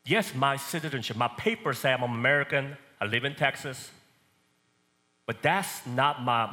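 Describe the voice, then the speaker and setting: A man from Korea with a Korean accent, preaching a sermon and swinging between loud and soft emphasis. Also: medium pitch, long reverb tail.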